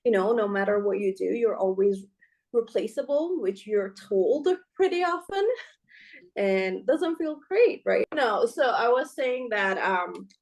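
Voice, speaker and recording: A woman co-hosting a podcast, speaking in an emphatic, heartfelt way with quick asides and a frequent "right?". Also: mid-range voice, lively intonation, a little mellow. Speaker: podcast co-host. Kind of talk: casual conversation.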